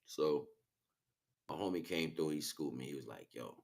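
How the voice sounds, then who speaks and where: A man's voice: a bass voice, moderate pace, vocal fry. A man livestreaming a casual, rambling spiritual monologue in street slang, often checking in with rhetorical questions. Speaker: livestream host.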